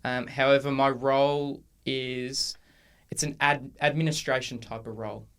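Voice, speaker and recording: A man in his twenties with an Australian accent, co-hosting a casual podcast and chatting in a relaxed way with frequent ums and restarts. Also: mid-range voice, moderate pace, clear, resonant tone. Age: 20s. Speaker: podcast co-host. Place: university room.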